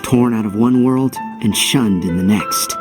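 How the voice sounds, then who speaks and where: A man's voice: on the low side, a little slow, music in the background, close to an everyday voice. An actor playing an earnest, wistful young male hero, a resolved outcast, with reflective, solemn delivery in a video game cutscene.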